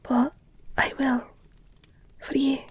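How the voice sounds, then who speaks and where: A woman playing a protective, guilt-ridden werewolf girlfriend in an intimate audio roleplay, speaking in a hushed, halting, soothing, breathy whisper. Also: high voice, completely flat delivery, full character voice. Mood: remorseful, earnest.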